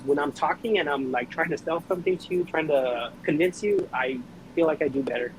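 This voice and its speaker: A man in his twenties with a slight stutter, talking fast and earnestly as a podcast guest. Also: on the high side. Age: 20s. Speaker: guest reptile breeder. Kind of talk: casual podcast chat. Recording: noisy podcast recording.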